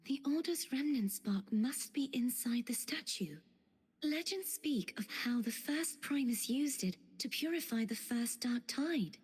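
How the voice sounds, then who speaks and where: Fantasy game guide: gentle, earnest maiden, high and breathy, formal courtesy. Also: female voice, animated, a little slow, heavily acted. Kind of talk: scripted video game voice acting. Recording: music behind.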